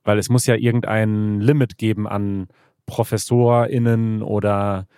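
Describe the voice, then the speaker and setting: A man from Germany with a fairly low voice hosts a podcast in standard German. The recording is dry and close, and he talks in a casual, conversational interview style. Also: level tone, a little slow, dark tone, smooth, no room sound. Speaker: podcast host.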